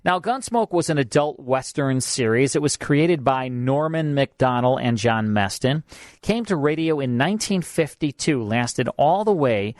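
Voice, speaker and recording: A man's voice: mid-range voice, expressive, normal speed, no room sound, studio quality. A man with an American accent hosting a radio show in a casual, chatty and emphatic way. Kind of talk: casual radio banter and introductions. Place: radio studio.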